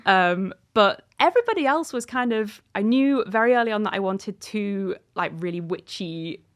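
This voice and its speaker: Woman in her 30s, author doing a casual interview, animated and informal, normal pitch. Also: moderate pace.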